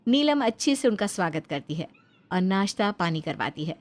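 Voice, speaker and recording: A woman's voice: medium pitch, big pitch swings, normal speed. A woman with an Indian accent giving scripted narration for a cartoon story.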